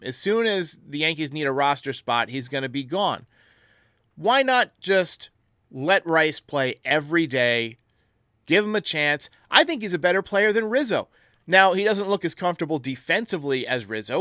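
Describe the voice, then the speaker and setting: A man with a bright, forceful voice makes his case with emphasis and conviction as he answers fan voicemails. His voice sits a bit higher than most men's. The sound is close and dry, with no room around it. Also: dry recording. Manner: emphatic, argumentative. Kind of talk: casual opinionated sports talk.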